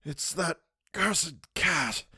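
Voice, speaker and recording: A man's voice: a little high, expressive, very slow, pushed and bright, breathy edge, dry recording, studio quality, pitched above the performer's own voice, heavily acted. A man voicing a sinister, crippled old blackmailer in a thin, raised, cold voice. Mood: sinister calm.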